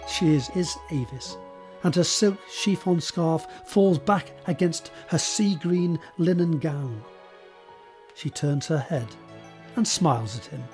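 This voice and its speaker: A male narrator with a fairly high but mellow, soft-edged voice reads scripted scene directions for an audio drama. His delivery is measured and descriptive, over music.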